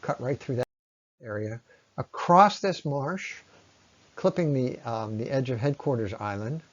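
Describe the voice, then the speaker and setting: A man presents informally over slides in a medium-pitched voice. He explains things step by step, pausing haltingly as he goes. The voice-over recording is slightly dull and lo-fi. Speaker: presenter on local ecology.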